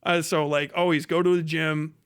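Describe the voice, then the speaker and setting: A man's voice: a little high, level tone, moderate pace, a bit bright, clear, resonant tone. A man ranting and telling stories casually on a live stream, blunt and swearing freely. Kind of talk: casual, profane ranting and storytelling.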